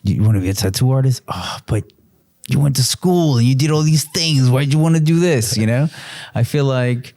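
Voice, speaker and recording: A man's voice: medium pitch, normal speed, mellow, dry recording, clean studio sound. A man in his early forties co-hosting a casual podcast chat, rambling with many restarts and fillers. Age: 40s. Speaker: podcast co-host.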